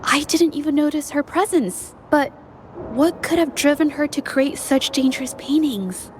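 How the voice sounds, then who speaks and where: An actress voicing an earnest young female painter, gentle and reflective as she investigates. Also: high voice, normal speed, slight background noise, close to an everyday voice. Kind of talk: video game voice acting.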